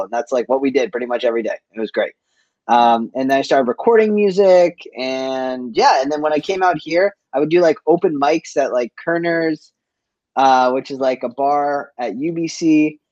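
A man's voice: mid-range voice, darkish tone, dry recording. Casual man, dry slightly muffled sound. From East Boston, USA. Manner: informal, slangy, peppered with "like". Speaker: interview guest, chef and business owner. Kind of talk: casual conversational storytelling.

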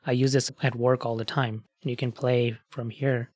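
A man's voice: mid-range voice, little pitch movement, normal speed, dry recording, studio quality. A man hosting a podcast, chatting casually and explaining things with asides and fillers. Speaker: podcast host.

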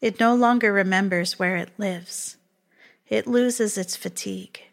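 A woman reading poetry aloud in a measured style. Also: medium pitch. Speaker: poetry reader.

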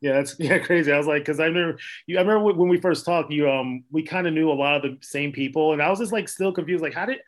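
A man's voice: a little high, fast, hardly any pauses. A young man from Minnesota, an actor and playwright, chatting as a podcast guest in a rambling way with many fillers and laughs. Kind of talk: casual interview chat.

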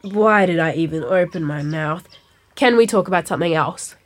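A woman's voice: lowish voice, moderate pace, natural voice. An actress playing an exasperated schoolgirl granddaughter in a comic audio drama. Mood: fed up. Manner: exasperated, sighing.

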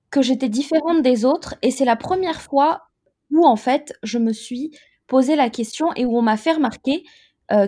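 A woman in her thirties, originally from Côte d'Ivoire, answers interview questions about her own life. Her voice sits high and clear, and she speaks in a thoughtful, measured way, pausing often to find her words. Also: mellow. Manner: reflective, measured, with frequent hesitations. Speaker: interview guest. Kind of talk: personal testimony in an interview.

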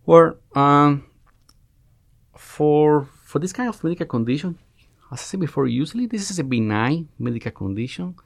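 A man in his forties with a Cuban Spanish accent, a resident doctor being interviewed on a podcast, who often pauses and restarts as he searches for words. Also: on the high side, animated, vocal fry, no echo, studio quality. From Cuba. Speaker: guest, family medicine resident.

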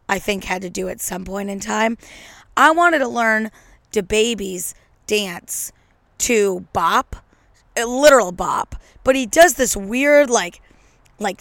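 A slightly hoarse woman hosting a casual solo podcast from home, talking into a microphone with a brash, joking, rambling manner. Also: mid-range voice, a bit bright, no room sound. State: a little hoarse. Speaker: podcast host. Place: at home on a couch.

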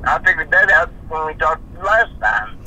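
A man in his fifties with a drawl talks haltingly on a phone call, stammering and restarting his sentences. His voice is very bright and sharp-edged, with a faint noise behind it. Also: a little high. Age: 50s. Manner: halting, stammering, repeats himself. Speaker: person being questioned on a phone call. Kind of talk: casual phone conversation.

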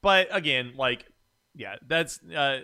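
A man's voice: on the high side, slow, bright, forceful tone, clean tone. A man hosting a podcast, chatting casually about films. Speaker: podcast host. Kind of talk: casual film chat.

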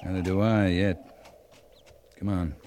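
Low-voiced, terse cavalry captain, old radio noise. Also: male voice, steady tone, measured pace, darkish tone. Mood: calm, watchful. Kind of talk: 1950s radio western acting. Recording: noisy vintage radio drama recording.

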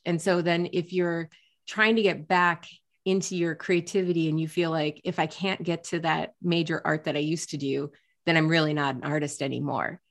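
A woman hosting a podcast interview, talking in a reflective, encouraging way with lots of "like" fillers. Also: sits low. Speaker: podcast host. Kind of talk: casual interview chat.

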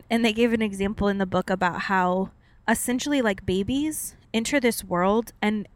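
A woman's voice: mid-range voice, moderate pace. A young woman co-hosting a podcast, chatting casually and a bit long-windedly, with frequent laughs and "like" fillers. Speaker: podcast co-host. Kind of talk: casual chat.

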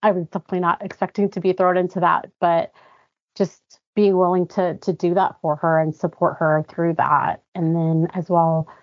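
A woman's voice: lowish voice, flat delivery, moderate pace, no echo. A young woman from Guatemala, raised in the United States, speaking earnestly and chattily as a podcast guest. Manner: earnest, chatty, many fillers. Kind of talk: conversational interview. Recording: dry, slightly muffled audio.

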